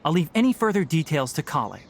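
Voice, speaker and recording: A man voicing a young forest ranger mentor in an animated video game, brisk, caring and gently firm. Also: fairly high pitch, a little hiss or hum. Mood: friendly, businesslike. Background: soft background music.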